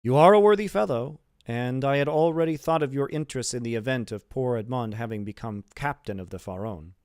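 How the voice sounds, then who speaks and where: A man's voice: mid-range voice, a little fast, darkish tone, clean tone, no room sound, clean studio sound, pitched above the performer's own voice, lightly acted. A man voicing a hearty, earnest shipowner in an audiobook, courteous and formally worded. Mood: warm, businesslike.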